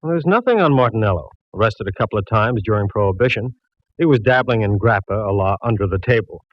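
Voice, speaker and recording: An actor playing a jovial middle-aged police inspector with a breezy, teasing, folksy manner, from a dull old radio broadcast. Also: male voice, on the low side, animated, quick pace, dry recording. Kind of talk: 1940s radio acting.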